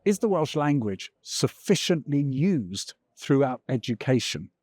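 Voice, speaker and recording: Lively male news presenter. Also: mid-range voice, unhurried, no room sound, clean studio sound. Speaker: reporter and presenter. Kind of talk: broadcast news feature, narration and interview. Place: outdoors at a castle.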